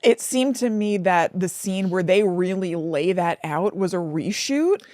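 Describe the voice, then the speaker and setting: A woman's voice: lowish voice, expressive, a little slow, darkish tone, no echo, clean studio sound. A woman co-hosting a podcast, chatting casually about film in a conversational way, with plenty of "like" and asides. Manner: conversational, frequent "like" and hedging asides. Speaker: podcast co-host.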